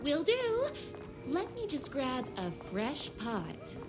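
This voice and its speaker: Self-important, dreamy diner waitress: high-pitched, unhurried game delivery, music underneath. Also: female voice, huge pitch swings, heavily acted. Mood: cheerful. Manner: gushing, dreamy, theatrical. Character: perky, lovestruck, self-important small-town diner waitress. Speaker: waitress narrator. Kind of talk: scripted game narration and dialogue.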